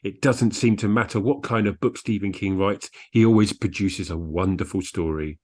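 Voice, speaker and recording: A man's voice: lowish voice, normal speed, a little mellow. A man co-hosting a book podcast, giving blunt opinions in casual discussion. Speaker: book review podcast co-host. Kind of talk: casual book discussion, sometimes reading reviews aloud.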